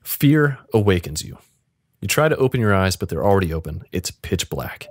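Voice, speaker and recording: A man with a fairly low voice acts as game master on a podcast. He moves between loose, casual explanation and dramatic story narration, recorded very dry with no room sound. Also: very animated, normal speed, no echo. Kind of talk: casual chat mixed with interactive fiction narration.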